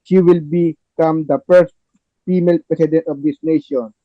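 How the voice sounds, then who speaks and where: A man's voice: a little high, fairly even intonation, normal speed, dry recording, lightly acted. A man playing a television news anchor in a scripted play reading, recorded with dull lo-fi sound. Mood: matter-of-fact. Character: television news anchor reporting election polls.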